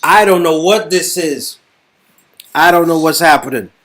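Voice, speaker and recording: A man's voice: a little high, measured pace, a bit bright. A man co-hosting a read-aloud of a story game, moving between scripted narration and casual reaction chat. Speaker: channel co-host, performer.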